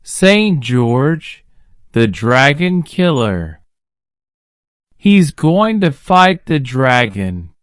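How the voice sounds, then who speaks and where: A woman's voice: rumbling low, big pitch swings, extremely slow, clear, resonant tone, no echo, studio quality, heavily acted. A woman voicing an earnest, anxious young boy from a storybook, urgent and pleading. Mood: anxious warning.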